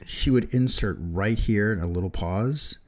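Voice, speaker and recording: A man's voice: fairly low pitch, unhurried, darkish tone. An older man co-hosting a casual podcast, teasing his partner and joking around. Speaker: podcast co-host. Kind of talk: casual chat.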